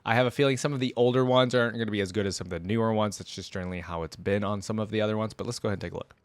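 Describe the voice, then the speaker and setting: A man's voice: lowish voice, racing, dry recording. A man giving casual reaction commentary as a host, chatty and informal, thinking aloud as he goes.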